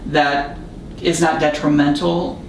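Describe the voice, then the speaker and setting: A man's voice: on the high side, flat delivery, takes it slowly, gravelly, recorded from a distance. A man speaking as a film director guest in a casual interview, explaining things earnestly. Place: echoey studio room. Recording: echoey room, off-mic, faint noise.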